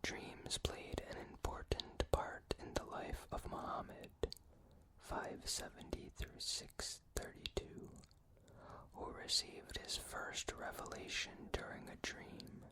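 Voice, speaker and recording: A person reading facts in an unvoiced ASMR whisper, carefully and in short phrases with pauses between them. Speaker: reader.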